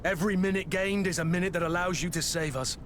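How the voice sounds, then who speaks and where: A loyal veteran master of arms in a video game. He is blunt and protective, somewhat high in pitch for a man, with faint noise behind. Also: fairly even intonation, fast talker, a bit bright. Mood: urgent, blunt.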